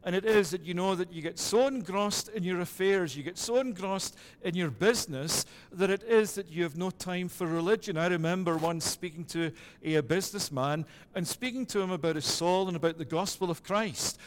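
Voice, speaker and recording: A middle-aged Scottish pastor delivers a measured, deliberate sermon in a fairly high voice. His words ring out with a long reverb trailing off the walls of the sanctuary. He talks in steady, patterned phrases. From Scotland. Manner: measured preaching with repeated "it is that" phrasing.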